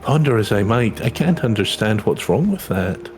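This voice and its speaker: A man's voice: fairly low pitch, very animated, normal speed, faint music behind. A man voicing an insecure young adventurer from a fantasy game, hesitant, earnest and polite. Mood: earnest, brooding. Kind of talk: reading game dialogue aloud in character.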